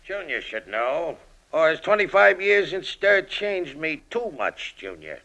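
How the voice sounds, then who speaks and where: Gravelly, taunting old gangster. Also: male voice, on the high side, very animated, measured pace, pushed and bright, exaggerated voice. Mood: taunting menace. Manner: slangy, taunting, menacing. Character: tough, vengeful aging male ex-convict gangster, mocking. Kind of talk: 1940s radio drama acting. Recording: muffled lo-fi old radio recording.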